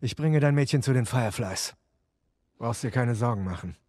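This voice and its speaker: Wary, serious adult man for game drama, acted by a man, mid-pitched, guarded, bluntly resentful. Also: normal speed, darkish tone, no room sound, studio quality, lightly acted. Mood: reassuring. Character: wary, resentful younger brother, rugged adult male survivor. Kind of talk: dramatic video game acting.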